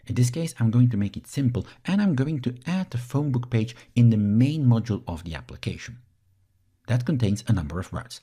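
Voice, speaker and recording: A man from Belgium, a native Dutch speaker, explains each step as an instructor in a software screencast tutorial. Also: mid-range voice, breathy edge.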